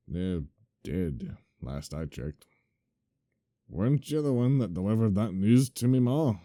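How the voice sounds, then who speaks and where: A man doing a gruff, dark-voiced dwarf. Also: fairly low pitch, big pitch swings. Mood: exasperated. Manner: blunt and exasperated, drawn-out delivery.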